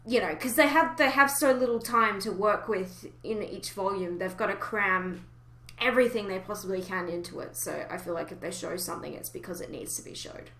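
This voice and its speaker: Bright-voiced woman in an echoey room. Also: mid-range voice, brisk, vocal fry, a little hiss or hum. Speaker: podcast host. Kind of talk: casual podcast chat. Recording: roomy, echoey home recording.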